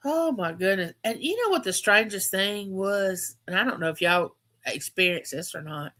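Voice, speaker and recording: A woman's voice: medium pitch, animated, normal speed, pushed and bright. A woman, hosting a casual live chat and telling stories, repeating phrases for emphasis. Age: 50s. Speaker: livestream host.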